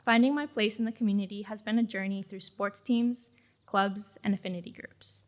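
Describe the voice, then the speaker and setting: Teen girl, student speaker, reflective prepared speech, mid pitch. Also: fairly even intonation, moderate pace. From Mexican-American family in California. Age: late teens. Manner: reflective, earnest, reading from a script.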